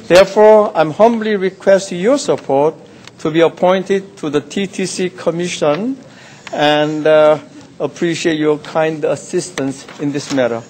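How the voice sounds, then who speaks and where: An older man from South Korea, a city councillor giving a measured, halting nomination speech. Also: fairly high pitch, darkish tone, a little hiss or hum. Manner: measured, halting, many "uh" pauses. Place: council chamber.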